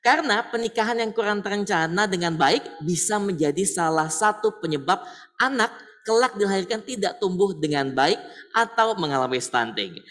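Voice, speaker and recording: A man's voice: high-pitched, expressive, moderate pace, a bit bright. Male event MC, casual and chatty, recorded with long room reverb. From Jakarta, Indonesia. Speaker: talk show host. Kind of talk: casual event hosting to an audience. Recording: roomy hall echo, distant mic, noisy.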